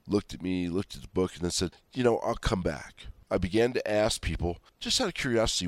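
A man's voice: deep voice, dry recording. A middle-aged man hosting a talk radio show, stuffed up from a cold, talking in a folksy, rambling way with chatty asides. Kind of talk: conservative talk radio monologue.

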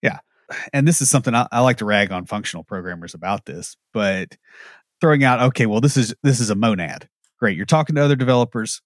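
A man's voice: lowish voice, fast, dry recording, studio quality. A man co-hosting a podcast, giving blunt, wry opinions and anecdotes. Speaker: podcast co-host. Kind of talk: casual opinionated chat.

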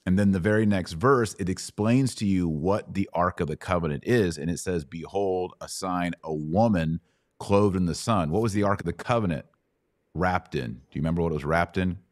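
A middle-aged man with a low-pitched voice hosts a live Bible Q&A podcast. He teaches in a conversational, didactic way and puts questions to his listeners as he explains. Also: moderate pace. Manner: didactic, asks checking questions.

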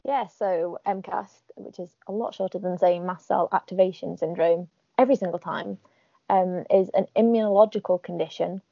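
A woman's voice: on the low side, steady tone, moderate pace, creaky, warm tone, no echo, lo-fi sound. A woman from Northern England with a Northern English accent, chatting casually and wryly as a podcast guest. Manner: chatty, wry, laughs at herself. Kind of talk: casual interview chat.

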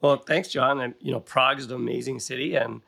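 Gravelly-voiced male podcast host. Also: medium pitch, steady tone, fairly quick, pushed and bright. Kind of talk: podcast interview hosting.

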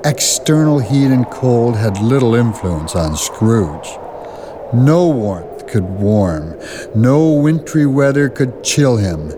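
An actor playing a grave storyteller, narrating a classic tale in a deliberate, dramatic way, relishing each word. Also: male voice, medium pitch, animated, background noise.

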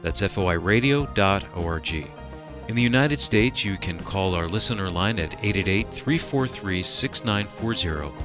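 A man with a somewhat low voice speaks as a radio co-host and announcer. His scripted delivery is deliberate and clearly enunciated, with music playing noticeably behind him. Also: expressive.

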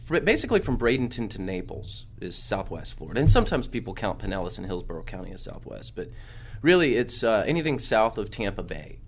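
A man's voice: fairly low pitch, very animated, fast, noisy background. A man and pastor with a head cold, talking casually on a podcast in a studio, explaining with lots of fillers and restarts.

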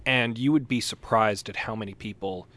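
A man's voice: on the low side, moderate pace, pushed and bright. A man co-hosting a podcast and talking in a casual, conversational way. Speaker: podcast co-host. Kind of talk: casual chat.

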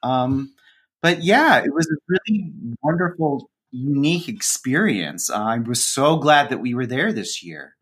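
A man's voice: medium pitch, expressive, a little slow, fairly bright tone, husky, rough recording. A man speaking as a podcast guest in a casual conversation, telling stories in a chatty, self-deprecating way.

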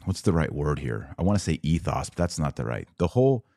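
A man's voice: extremely low pitch, sing-song, brisk, creaky, warm tone, no room sound, studio quality. A man hosting a podcast, explaining things to his online audience in a didactic but informal way, with casual asides. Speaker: podcast host and commentator.

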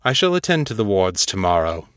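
A man's voice: low-pitched, very animated, fairly quick, fairly bright tone, dry recording, studio quality. A man voicing a commanding fantasy lord in an audiobook, giving curt, formal orders. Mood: authoritative.

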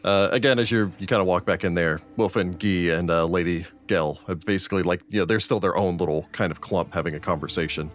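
A man narrating a tabletop role-playing game as game master, in a measured, descriptive storytelling manner. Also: low-pitched, fairly bright tone.

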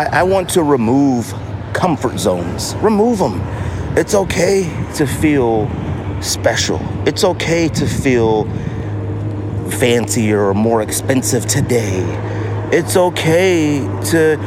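A man's voice: medium pitch, background noise. A middle-aged American man talking casually and earnestly as a solo podcast host, repeating phrases for emphasis. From Inglewood, California, United States.

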